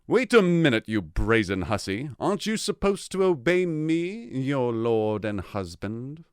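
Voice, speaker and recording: A man's voice: medium pitch, big pitch swings, measured pace, darkish tone, clean tone, no room sound, studio quality. A man playing a jealous, haughty fairy king in an audio drama, imperious and scornful in his theatrical delivery. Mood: indignant, accusing. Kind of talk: modern-English audio drama acting.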